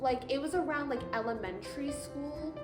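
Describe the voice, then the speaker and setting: A woman's voice: sits high, moderate pace. An eighteen-year-old woman answers questions casually, with "like" scattered through her speech. Her voice sounds roomy, and music plays behind it. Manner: casual, frequent "like". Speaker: interviewee.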